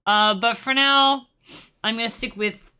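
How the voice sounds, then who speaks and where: Bright-voiced young woman, rambling tutorial chat. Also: on the high side, measured pace. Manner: rambling, self-deprecating, playful asides. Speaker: music creator teaching on stream. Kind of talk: casual live-stream tutorial chat.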